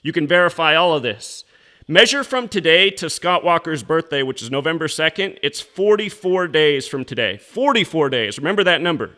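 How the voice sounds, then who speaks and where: A man's voice: fairly high pitch, lively intonation, moderate pace, pushed and bright. An American man delivering an unscripted commentary as an online show host, in a sardonic, pointed manner. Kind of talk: unscripted conspiracy monologue and commentary.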